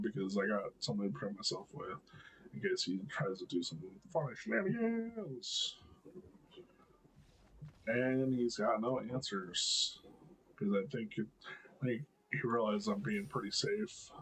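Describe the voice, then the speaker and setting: A man with a deep voice giving casual gameplay commentary, thinking aloud with lots of hesitant ums and restarts. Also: a little hiss or hum, rough recording. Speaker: gameplay video creator.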